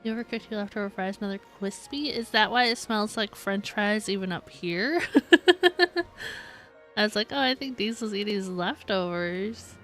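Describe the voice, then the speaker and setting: Unhurried woman game streamer chatting casually. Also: medium pitch, lively intonation, fairly bright tone, faint music behind. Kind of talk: casual livestream chat with viewers.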